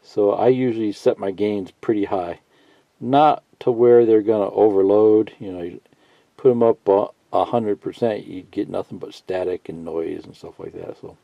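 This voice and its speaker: A man giving a casual, unscripted how-to tutorial, thinking out loud in a halting, folksy way and reading bits of instructions aloud. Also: on the low side, fairly even intonation, vocal fry. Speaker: hobbyist instructor. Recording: muffled lo-fi sound, roomy echo.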